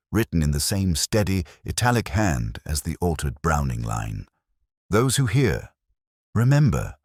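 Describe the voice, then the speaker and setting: Very deep voice, lively rise and fall, dry close sound, measured, wry, a man doing a weary middle-aged detective narrating. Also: no room sound, heavily acted. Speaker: first-person narrator. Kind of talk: scripted radio-drama narration.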